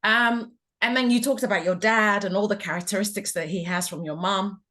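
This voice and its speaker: A woman from Ghana hosting a podcast, asking reflective, measured questions. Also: medium pitch, a bit bright. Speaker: podcast host. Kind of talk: interview conversation.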